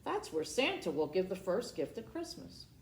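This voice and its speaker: A woman voicing a brisk, commanding male train conductor in a children's storybook, calling out boldly and emphatically. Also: mid-range voice, very animated, pitched above the performer's own voice. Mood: assured, inviting. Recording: roomy echo, faint background noise.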